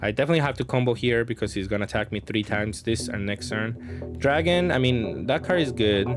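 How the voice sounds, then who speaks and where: Male gameplay commentator, medium pitch, casual and hesitant, reasoning through moves over clearly audible music. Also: brisk. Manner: hesitant, thinking aloud. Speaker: card game player commentating on own matches.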